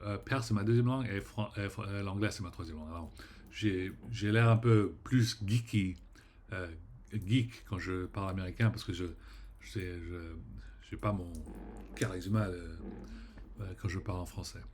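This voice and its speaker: A man talks straight to his viewers in a loose, rambling monologue, his voice sitting a little low. He halts often, fills gaps with hesitations and restarts, and lets his phrases fade away quietly at the end. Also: steady tone, slight background noise. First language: French. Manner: rambling, halting, many fillers and restarts; trails off quietly at ends of phrases. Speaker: vlogger. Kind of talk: casual vlog monologue.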